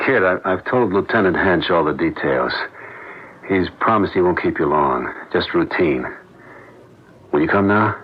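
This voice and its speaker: Deep, husky, concerned husband. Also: male voice, animated, measured pace, full character voice. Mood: gentle, steady. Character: concerned, reasonable husband comforting his grieving wife. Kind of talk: radio drama acting. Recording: muffled old radio broadcast.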